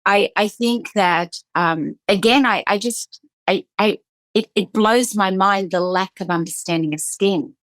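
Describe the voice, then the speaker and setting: This is a woman who hosts a skincare podcast and speaks as a seasoned esthetician, emphatic and fired up, often repeating a word as she drives a point home. Her pitch sits at an ordinary middle height, and the studio sound is dry and close with no room around it. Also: a little slow, a bit bright, no room sound. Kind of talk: passionate podcast conversation.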